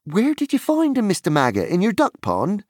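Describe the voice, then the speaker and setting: A man's voice: high-pitched, huge pitch swings, normal speed, no echo, studio quality, voice pushed up, heavily acted. A man doing a cheerful teasing young hobbit. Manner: bright, bantering.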